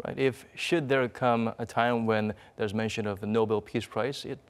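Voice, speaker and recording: A man anchoring a live news discussion, commenting and asking questions in deliberate, reflective, wordy phrasing. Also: on the low side, fairly even intonation.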